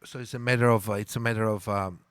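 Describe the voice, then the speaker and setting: A man with an Italian accent, a native Italian speaker, talking in a casual interview. He tells lively stories, repeats words and stops to search for English words. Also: fairly low pitch, quick pace, clean tone, no room sound. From Italy. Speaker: guest.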